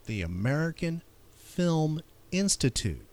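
A man's voice: on the high side, sing-song, slow, no room sound. A man hosting a casual trivia show, playfully quizzing and teasing out each question. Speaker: trivia podcast host.

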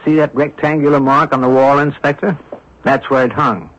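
An actor playing an observant, composed amateur sleuth in a radio mystery drama, reasoning aloud in a deliberate, inquisitive way. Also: male voice, medium pitch, steady tone, a bit bright, close to an everyday voice. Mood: composed, insistent. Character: observant, composed amateur sleuth, a man puzzling over clues. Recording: dull lo-fi old radio broadcast recording.

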